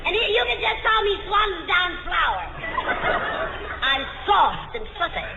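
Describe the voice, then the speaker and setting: A sassy, man-hungry radio comedienne, coy and gushing with a blunt edge. The voice is extremely high and very animated, on a muffled 1940s recording. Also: a little slow, gravelly, a loud crowd, heavily acted. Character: gushing, man-crazy, sassy female panel expert. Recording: muffled, noisy old radio, loud audience.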